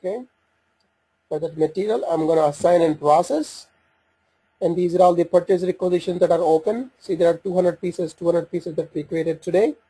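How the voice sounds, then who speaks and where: Man, fairly high voice, mellow tone, software demonstrator, thinking aloud, hesitant, unscripted. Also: fairly quick, slightly rough, rough recording. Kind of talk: unscripted screen-share software walkthrough. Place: voice-over on a screen recording.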